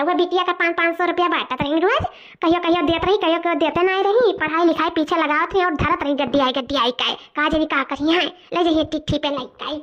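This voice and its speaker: A woman voicing a fretful, excitable village mother in a comic cartoon, chattering repetitively in a rustic eastern Uttar Pradesh accent. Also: very high-pitched. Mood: suspicious gossip. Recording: dull lo-fi cartoon soundtrack.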